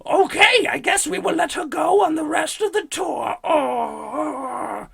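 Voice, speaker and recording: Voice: sits high, takes it slowly, creaky, fairly bright tone, voice pushed up, full character voice. A performer voicing an easily outraged, worried cartoon mother with a strained voice in a parody radio play. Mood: sad resignation.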